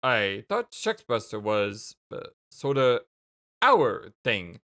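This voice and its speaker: A woman's voice: rumbling low, sing-song, takes it slowly, a bit bright, voice pushed down, exaggerated voice. A woman voicing an oblivious, apologetic young man who rambles in wordy, old-fashioned folksy slang in a dramatic dialogue. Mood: sheepish.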